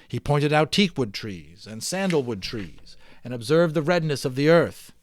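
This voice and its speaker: A man reading a children's story aloud dramatically, with offhand, chatty asides in an expressive manner. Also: on the high side, normal speed, no room sound. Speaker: amateur audiobook reader.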